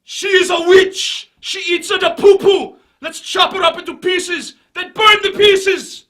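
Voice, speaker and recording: A man voicing a frenzied male mob leader, shouting in a screechy high voice. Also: fairly even intonation, unhurried, exaggerated voice. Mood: frantic. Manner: shouting, frantic. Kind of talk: mocking comedy sketch voice. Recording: distant clip audio.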